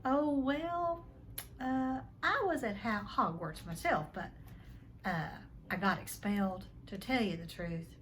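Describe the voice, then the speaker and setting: A woman voicing a gruff, big-hearted giant gamekeeper with rough, colloquial speech in a storybook read-aloud. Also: medium pitch, slow pace, a bit bright, slight background noise. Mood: sheepish confiding.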